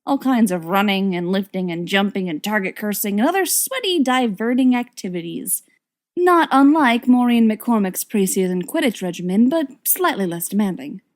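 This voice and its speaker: A woman's voice: medium pitch, expressive, normal speed. A woman narrating a written story expressively, with wry, dramatic emphasis. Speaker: audiobook reader of fan fiction.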